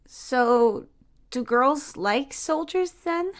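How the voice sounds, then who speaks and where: Woman voicing a hesitant, high-pitched little boy. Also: animated, drawn-out words, exaggerated voice. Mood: curious. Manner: hesitant, stammering. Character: sad, innocent little boy, sniffly and earnest.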